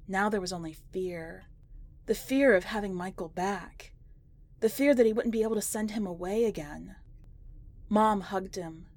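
A woman's voice: medium pitch, a little mellow, no echo. A woman reading a short story aloud as scripted, dramatic narration.

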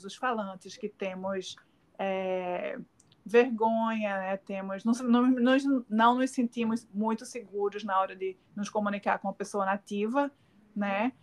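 A middle-aged woman with a Northeastern Brazilian accent, speaking at an ordinary middle pitch. She talks in a halting, searching way, full of fillers, restarts and tag questions, as an interview guest. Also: fairly even intonation. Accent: Northeastern Brazilian Portuguese. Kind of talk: casual remote interview.

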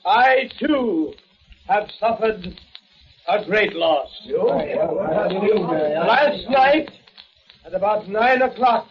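An actor playing a middle-aged city mayor who speaks in a formal, measured way, in 1940s radio acting. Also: male voice, high voice, lively intonation, heavily acted. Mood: solemn. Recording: muffled old radio recording.

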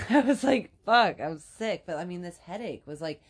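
A woman co-hosting a podcast, chatting casually in a rambling, filler-heavy way, her voice congested and raspy from a cold. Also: on the low side, animated, measured pace. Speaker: podcast co-host. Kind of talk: casual chat.

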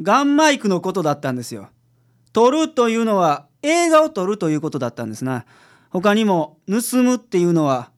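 Guy doing a laid-back kansai detective, swingy intonation, sure of himself, radio drama acting. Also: high-pitched. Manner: casual, confident, explanatory. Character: unflappable, easygoing male private detective who explains cases.

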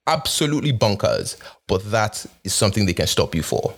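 Man with a mixed Nigerian–North American accent, low voice. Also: expressive, brisk, creaky. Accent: Nigerian with North American influence. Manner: conversational, with frequent fillers and repeated restarts. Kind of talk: casual monologue.

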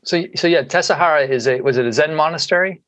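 A man's voice: fairly high pitch, fast, fairly bright tone. A man hosting a podcast interview, asking long questions full of restarts and fillers. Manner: rambling, many restarts and fillers. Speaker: podcast host. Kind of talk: conversational interview.

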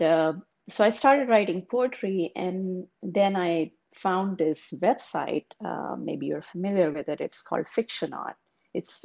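Brief: woman author, low gravelly voice, reflective interview answers. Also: darkish tone. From India. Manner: thoughtful, explanatory. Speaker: author, guest.

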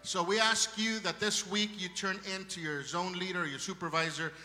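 A man's voice: high voice, fairly even intonation, moderate pace, in a large hall. A man with a strong Spanish accent preaching a sermon to a congregation, calling out praises and exhortations with fervour. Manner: fervent, shouting praises to the congregation. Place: church hall.

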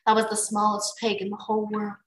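A woman reading the part of a gentle, earnest, food-loving young male dragon in a storybook. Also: medium pitch, completely flat delivery, fast talker, echoey room, natural voice. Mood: glum. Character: hungry, good-natured young male dragon, gentle and mournful.

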